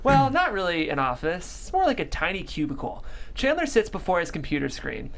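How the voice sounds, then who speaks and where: A woman's voice: fairly low pitch, big pitch swings, a little fast. A woman reading out stage directions as narrator at a script table read.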